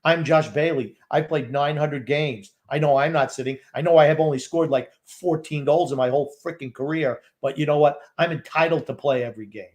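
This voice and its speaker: A man's voice: on the high side, fairly quick, few pauses, a little mellow. A man co-hosting a live game watchalong podcast, blunt and complaining. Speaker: podcast co-host.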